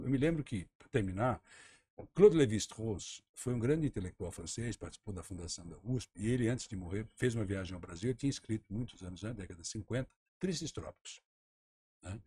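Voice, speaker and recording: A man's voice: medium pitch, darkish tone, slightly rough. A man from Santa Catarina, Brazil, a former tourism minister, speaking emphatically and argumentatively as a guest on a radio talk-show interview. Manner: emphatic, argumentative, repeats words while thinking.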